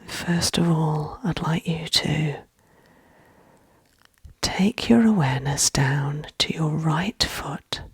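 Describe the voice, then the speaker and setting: A woman with a gentle, hushed, breathy voice leading a soft-spoken ASMR guided relaxation, soothing and often close to a whisper. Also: low voice, slow, dry recording.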